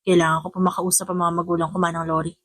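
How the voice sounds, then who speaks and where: Lady doing a troubled young guy, lowered voice, subdued and hesitant, audiobook drama. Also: little pitch movement, racing, fairly bright tone, no echo, studio quality. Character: troubled, hesitant grown son, a young man. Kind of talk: audiobook character acting.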